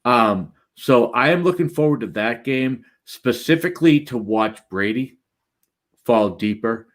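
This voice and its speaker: A man's voice: medium pitch, lively intonation, unhurried. A man with a Boston accent, joking and trash-talking while co-hosting a casual sports chat. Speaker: podcast co-host.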